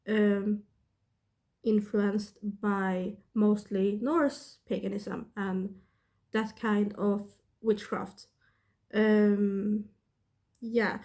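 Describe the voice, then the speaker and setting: A woman in her thirties with a Swedish accent, sick and talking casually to her camera in a halting way, with many pauses and fillers. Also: medium pitch, a little mellow. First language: not English. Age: 30s. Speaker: witchcraft vlogger.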